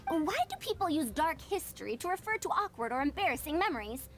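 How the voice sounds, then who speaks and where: High, lively scholarly woman. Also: fast talker, faint music behind, heavily acted. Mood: curious. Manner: formal, inquisitive. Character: curious, bookish woman scholar and teacher with formal speech. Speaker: voice actor in a video game. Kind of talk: scripted anime game dialogue.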